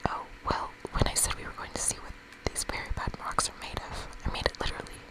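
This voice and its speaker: A woman's voice: fast talker, natural voice, rough recording. Woman as a glib whispering were-lemur bureaucrat. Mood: gleeful. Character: old, greedy, blithe were-lemur bureaucrat.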